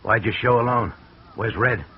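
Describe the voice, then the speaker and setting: An actor playing a wary escaped convict in a 1940s radio drama, suspicious and clipped. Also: male voice, mid-range voice, moderate pace, husky. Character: wary male escaped convict posing as farmhand. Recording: muffled old radio recording.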